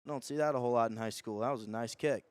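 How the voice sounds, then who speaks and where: A man calling live high school football as a radio play-by-play announcer. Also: fast talker, a little mellow, smooth, dry recording.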